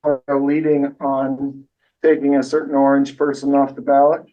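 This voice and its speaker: A man's voice: mid-range voice, completely flat delivery, normal speed. Man hosting a meeting, heard from afar. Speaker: meeting host. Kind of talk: casual meeting announcements. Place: meeting room. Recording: dull audio, voice from far off.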